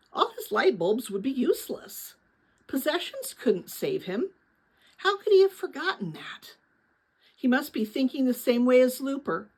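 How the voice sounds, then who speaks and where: Lively, high-voiced woman reading a story. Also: slightly rough, rough recording. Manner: expressive, animated storytelling. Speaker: audiobook-style reader of a children's novel. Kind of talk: dramatic scripted story narration.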